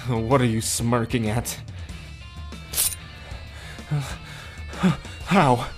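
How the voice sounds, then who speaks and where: Audio drama villain: male actor as a smarmy, cowardly broker, mid-pitched and unhurried, scheming, faint music underneath. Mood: gloating, defiant.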